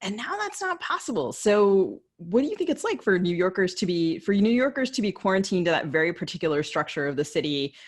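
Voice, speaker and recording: A woman's voice: mid-range voice, sing-song, fairly quick, few pauses. Chatty American woman hosting an interview. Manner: wordy, self-deprecating, informal. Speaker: podcast co-host, interviewer. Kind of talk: chatty interview questions with personal asides.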